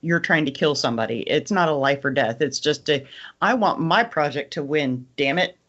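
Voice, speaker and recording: A woman's voice: low-pitched, expressive, normal speed, fairly bright tone. Blunt woman on slightly muffled podcast audio. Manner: blunt, informal, emphatic. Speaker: podcast co-host. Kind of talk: casual panel discussion.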